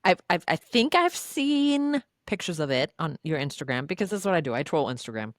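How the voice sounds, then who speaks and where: Lower-voiced woman podcast host. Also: sing-song, fairly quick, dry recording, clean studio sound. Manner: enthusiastic, trails off mid-question. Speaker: podcast host, romance writer. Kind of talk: casual podcast chat.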